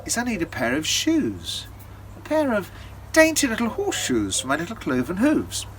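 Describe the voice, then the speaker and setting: A man's voice: sits high, wildly swooping pitch, normal speed, slight background noise, voice pushed up. A man voicing a sly, polite and mischievous devil in a theatrical, teasing storybook manner. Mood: prim, dainty. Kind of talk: storybook character voice in oral storytelling.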